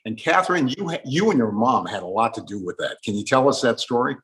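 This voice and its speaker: A man's voice: medium pitch, animated, quick pace, a bit bright. Male moderator, middle-register voice, courteous, feeding questions to a panel of guests. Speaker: interview host.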